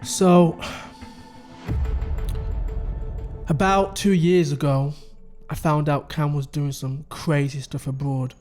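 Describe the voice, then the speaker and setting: A man's voice: a little high, steady tone, measured pace, darkish tone, faint music behind, lightly acted. A man playing a scheming middle-aged father, explaining things conversationally in a dramatic audio story. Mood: explanatory, uneasy. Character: scheming middle-aged father recounting his wife's crimes. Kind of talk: dramatic dialogue.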